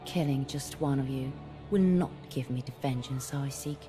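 An actress playing a young, vengeful female assassin in a video game, measured, solemn and intense. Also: extremely low pitch, over music. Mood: grim resolve. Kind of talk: dramatic game cutscene dialogue and narration.

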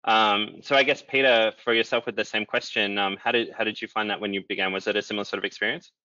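A young man moderating a panel on a video call, relaxed and filling pauses with ums. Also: lowish voice, no room sound. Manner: relaxed, many "um" fillers. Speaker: moderator, company chief executive. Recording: dull lo-fi video call audio.

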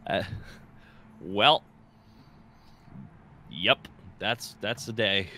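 A man's voice: lowish voice, drawn-out words, sharp tone, a little hiss or hum. A man playing a tabletop game, chatting casually and jokingly about his character's actions. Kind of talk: casual, jokey in-game chat.

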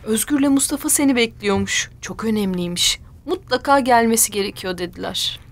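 A woman playing a gentle, affectionate young mother in a children's cartoon, talking in a soft, coaxing way. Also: fairly high pitch, fairly quick, close to an everyday voice. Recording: music behind the voice.

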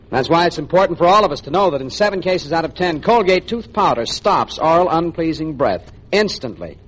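Animated male 1940s radio commercial announcer. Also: fairly high pitch. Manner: emphatic, friendly salesman addressing listeners as friends. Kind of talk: 1940s radio advertising pitch.

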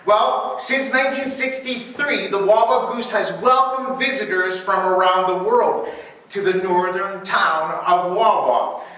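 A middle-aged man preaching a sermon as pastor, earnest and halting with restarts, recorded by a far camera in a dim church hall. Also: high-pitched, bright, forceful tone. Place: dim church hall before a projection screen. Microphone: far camera, no close mic.

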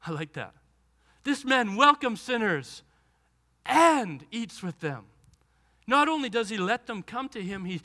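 Man in his thirties, high bright voice, animated preacher who acts out the text, headset mic on a church stage. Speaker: pastor. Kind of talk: sermon. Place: large church stage with a lectern.

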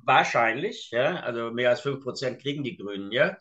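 A man in his seventies co-hosting a casual political chat over a video call, rambling and halting with many fillers. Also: medium pitch, expressive, a bit bright, highs cut off. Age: 70s. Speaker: co-host. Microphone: video call webcam.

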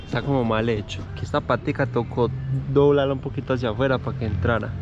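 A man's voice: mid-range voice, fast, highs cut off. A man, chatting casually to viewers as he fits parts to a motorcycle outdoors. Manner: relaxed, chatty, addresses viewers as "gente". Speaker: motovlog host. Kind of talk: casual how-to narration. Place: outdoor parking lot. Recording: music playing behind.

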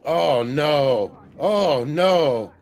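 A man's voice: on the high side, expressive, extremely slow, a little mellow. A man playing a game with a friend, tossing in dry quips. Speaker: co-op gaming partner. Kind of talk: casual gameplay chat.

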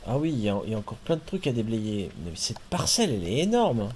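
A man's voice: mid-range voice, big pitch swings, fast, darkish tone, a little hiss or hum. A man from France chatting casually with a friend while playing a farming game, chatty and jokey with playful swearing. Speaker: streamer and host.

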